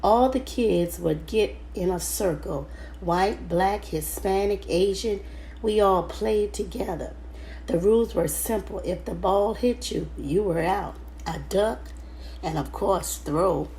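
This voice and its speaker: A woman reading a children's book aloud in first person, halting now and then over the words. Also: medium pitch, creaky, warm tone, slight background noise, low-quality recording. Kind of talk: storybook read-aloud narration, first person.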